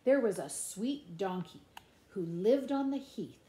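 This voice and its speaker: A middle-aged woman reading a children's picture book aloud in an expressive, playful, sing-song way, with asides about the pictures. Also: mid-range voice, measured pace, a little hiss or hum. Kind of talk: animated children's storybook read-aloud with asides. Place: indoors in a room at home. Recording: echoey room.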